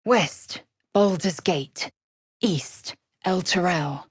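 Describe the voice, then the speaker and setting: Low, husky, fierce alien warrior. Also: female voice, drawn-out words, dry recording, clean studio sound, heavily acted. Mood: haughty, disdainful. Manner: clipped, haughty, commanding, formal phrasing. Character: fierce, imperious young female Githyanki alien warrior. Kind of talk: video game character voice lines.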